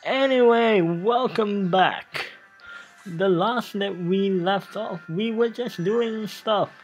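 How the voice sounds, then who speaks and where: Halting let's play host over loud music. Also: mid-range voice, poor audio. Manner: halting, stumbles over read text and restarts. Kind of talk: casual game playthrough with dramatic reading. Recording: music playing behind voice. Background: background music.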